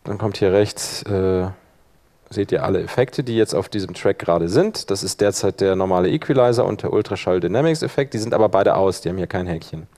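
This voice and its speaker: A middle-aged male instructor with a fairly deep voice and a clear layer of vocal fry. He walks through a software demonstration informally, explaining step by step in a conversational way and often thinking out loud. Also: brisk. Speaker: workshop instructor.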